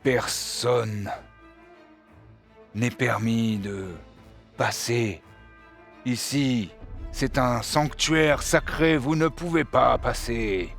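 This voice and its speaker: A man voicing a colossal bronze giant who guards a sacred sanctuary, with a slow, solemn, formal delivery. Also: medium pitch, vocal fry, fairly bright tone, soft background music, exaggerated voice.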